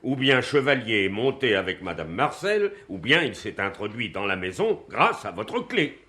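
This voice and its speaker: A stern police commissioner with a fairly low, forceful voice. He is sceptical, formal and curt, in radio-drama delivery. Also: sing-song, normal speed. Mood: accusing. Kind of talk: French radio play acting.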